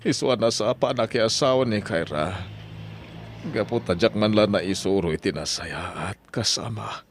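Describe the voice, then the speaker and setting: A man's voice: lowish voice, normal speed. A man playing a proud, regretful father with a heavy, brooding manner in an Ilocano drama. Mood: bitter, defensive. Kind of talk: Ilocano radio-style drama acting.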